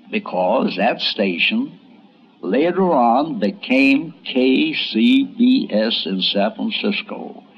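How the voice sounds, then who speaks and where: A man's voice: medium pitch, breathy edge. A man narrating a documentary in a conversational, storytelling way. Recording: dull vintage audio with music behind.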